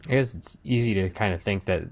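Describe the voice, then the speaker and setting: A man's voice: fairly low pitch, fast, soft background music. An American man hosting a casual discussion, talking in a rambling, conversational way as he thinks aloud. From United States. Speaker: host of a gaming commentary.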